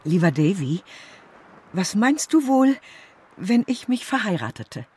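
An actress playing a warm-hearted, simple housekeeper who speaks affectionately in a German radio drama. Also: female voice, mid-range voice, very animated, unhurried, slightly rough, exaggerated voice. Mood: tender, coy. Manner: affectionate, fond endearments.

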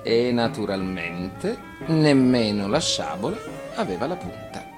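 A man, an actor, reading a children's fairy tale as expressive scripted narration. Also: mid-range voice, a little slow, over music.

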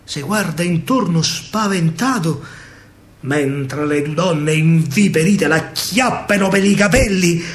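A man's voice: a little high, slow pace. A man with a Roman accent performing a dramatic verse monologue on stage as an emotive, theatrical storyteller. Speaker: solo stage actor and storyteller. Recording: live performance with faint background noise.

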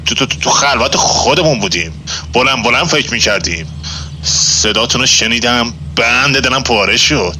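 A man's voice: mid-range voice, expressive, sharp tone, slight background noise. An actor playing a blustering, easily flustered father, a moustached street tough with colloquial talk, in a nostalgic radio drama. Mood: flustered, apologetic. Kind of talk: nostalgic 1960s-set radio drama acting.

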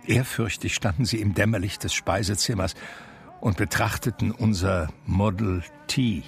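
An actor playing an aging man who recounts his memoir in a measured, reflective literary manner for a radio drama. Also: lowish voice, creaky, faint music behind. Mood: reminiscing. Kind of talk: literary first-person radio drama narration.

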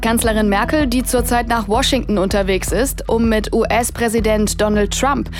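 A woman's voice: fairly high pitch, fairly even intonation, normal speed, over music, natural voice. An actress playing a matter-of-fact female news reader delivering a scripted bulletin in a satirical radio play. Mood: neutral.

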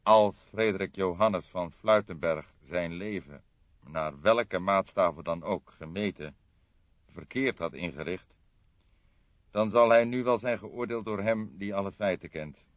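A man voicing a dry, deliberate older police detective in an audiobook, with a measured, formal, probing way of talking. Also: sits low, voice pushed up. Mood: measured, grave. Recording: dull, roomy audiobook recording.

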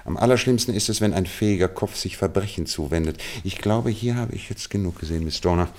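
An actor playing a shrewd, wry male detective in a German radio play, speaking in a theatrical, deliberate and authoritative way. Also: low voice, darkish tone. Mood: commanding.

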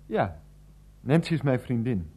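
A man playing a mild, earnest middle-aged nephew in a radio play, speaking politely, haltingly and a little defensively. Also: medium pitch, big pitch swings, dark tone, husky, exaggerated voice. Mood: hesitant, defensive. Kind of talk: naturalistic radio play dialogue.

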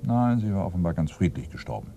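An actor playing a brooding, irritable senior police inspector in a radio crime play, ponderous and commanding with sudden emphasis. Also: male voice, deep voice, big pitch swings, quick pace, gravelly, mellow, faint music behind, exaggerated voice. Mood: sombre, reporting. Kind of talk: German radio play acting.

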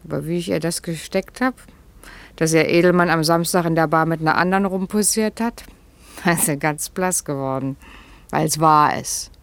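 Defiant young gossip, needling and offhand, radio drama, lowish female voice. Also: moderate pace, creaky, no echo. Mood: spiteful, needling. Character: defiant, scheming young single mother, sharp-tongued gossip. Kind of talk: German radio play acting.

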